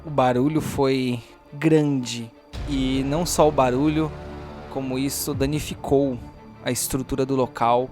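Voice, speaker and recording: A man's voice: mid-range voice, fairly even intonation, unhurried, music in the background. A man with a Brazilian accent narrating a tabletop role-playing game as game master.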